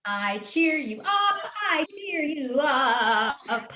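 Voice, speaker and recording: Casting: woman as bubbly, childlike sweetheart; very high, swooping, sing-song, playful, in a roomy-sounding reading. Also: big pitch swings, drawn-out words, bright, forceful tone, soft background music, heavily acted. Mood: playful glee. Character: smiley, bouncy, childlike cheerful loving partner. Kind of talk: live play reading over video call. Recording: roomy, echoey video call sound.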